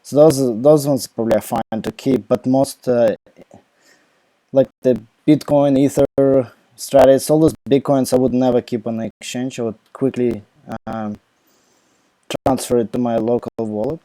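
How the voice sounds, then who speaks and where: A young Ukrainian-accented man answers questions live from home into his laptop microphone. His voice is very dark and mellow, and the sound is dry and close. He rambles casually, full of fillers and false starts. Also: mid-range voice, steady tone, a little slow, no echo, low-quality recording. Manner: rambling, many fillers and restarts. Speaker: crypto live Q&A host. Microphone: laptop mic.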